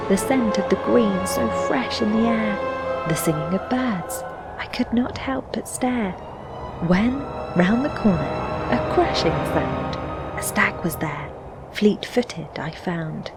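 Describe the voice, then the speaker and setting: A woman playing a sorrowful, reflective fantasy game heroine, reciting verse in measured phrases with breathy endings. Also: medium pitch, expressive. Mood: wistful wonder. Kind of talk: scripted poetic narration for a fantasy adventure game. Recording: music under the voice. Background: background music.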